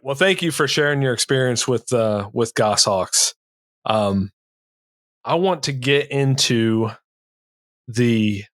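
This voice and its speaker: Male podcast host, dry close sound, medium pitch, relaxed conversational interviewer who teases. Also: dry recording. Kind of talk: casual chat, teasing and interviewing.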